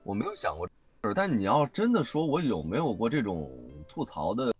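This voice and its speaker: A man's voice: medium pitch, very animated, brisk, husky, lo-fi sound, soft background music. A man speaking as a voice actor guest in a casual interview, emphatically repeating his points.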